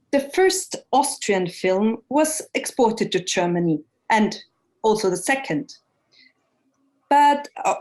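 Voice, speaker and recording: A woman presenting an academic seminar lecture, speaking in a measured way with frequent pauses and hesitations. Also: fairly high pitch, a little mellow, low-quality recording.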